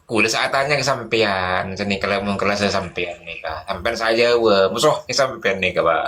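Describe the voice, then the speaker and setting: Low-voiced man in casual chat. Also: normal speed, pushed and bright. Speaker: participant in an online discussion.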